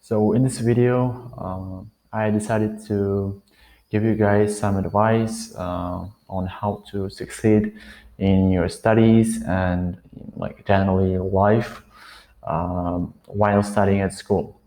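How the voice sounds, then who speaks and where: Slow-talking nineteen-year-old man. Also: lowish voice, steady tone, dark tone. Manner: hesitant, many fillers and restarts; glances around while thinking. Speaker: vlogger. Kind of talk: unscripted talking-head advice.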